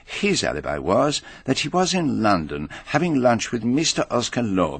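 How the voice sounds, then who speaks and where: An actor playing a fastidious, self-assured detective in a British radio drama, speaking with precise, deliberate, theatrical phrasing. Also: male voice, on the low side, fairly bright tone, slightly rough, dry recording, full character voice. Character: fastidious, self-assured male foreign detective, courteous and methodical.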